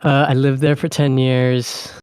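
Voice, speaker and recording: A man's voice: mid-range voice, unhurried, smooth, no echo. Laid-back rambling young man, guest. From Japan. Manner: relaxed, rambling, heavy on fillers. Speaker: podcast guest. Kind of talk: casual chat.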